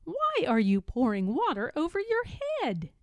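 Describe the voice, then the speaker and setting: A woman's voice: high-pitched, huge pitch swings, a little slow, no room sound, pitched above the performer's own voice, heavily acted. A woman voicing a mild, curious frog in a children's storybook, with a lilting, inquisitive delivery. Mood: puzzled. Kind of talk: children's storybook read-aloud character voice.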